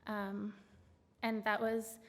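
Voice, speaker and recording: A woman from Canada reading her poems on a stage, halting and full of fillers between poems and measured within them. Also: mid-range voice, flat delivery, a bit bright. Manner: hesitant, filler-laden asides between measured poem readings. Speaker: poet reading her work. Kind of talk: poetry reading with spoken introductions. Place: large auditorium stage. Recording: long hall reverb.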